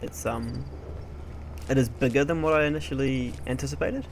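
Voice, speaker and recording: A young man chatting casually over gameplay in an unhurried, hesitant, low-key way. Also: slight background noise. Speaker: let's play host. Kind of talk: casual gameplay commentary, rambling personal chat. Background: video game music.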